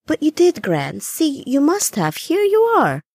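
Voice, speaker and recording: A woman's voice: high-pitched, wildly swooping pitch, a little slow, a little mellow, dry recording, studio quality, pitched above the performer's own voice, heavily acted. A woman voicing a young granddaughter in a story, careful, coaxing and reassuring.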